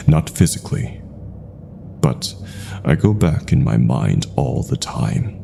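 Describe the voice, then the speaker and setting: A man narrating a scripted horror story with deliberate pauses, his phrases sometimes trailing into hushed breath. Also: rumbling low, creaky, a little hiss or hum.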